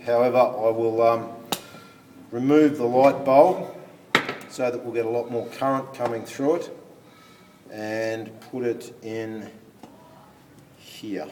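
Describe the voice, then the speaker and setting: A man explains a physics demonstration step by step as a teacher, haltingly thinking aloud while he handles equipment. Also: medium pitch, steady tone, dark tone. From Australia. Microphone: phone camera some way off. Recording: distant phone recording, background hiss.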